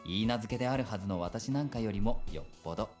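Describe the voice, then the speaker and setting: Man playing a very fast-talking, genial young policeman. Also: lowish voice, darkish tone, soft background music, lightly acted. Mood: playful. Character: genial, sly young male island police officer. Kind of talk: visual novel game acting.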